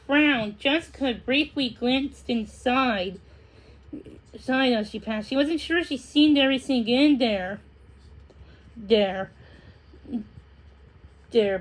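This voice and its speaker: Slow, high-pitched female story reader. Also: rough recording. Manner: halting reading with stumbles and repeated words. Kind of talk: read-aloud children's story narration.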